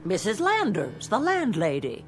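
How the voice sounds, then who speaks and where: A woman playing a brisk, proper, impatient old-time landlady in a period radio drama, speaking formally. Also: mid-range voice, huge pitch swings. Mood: impatient, suspicious. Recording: music behind the dialogue.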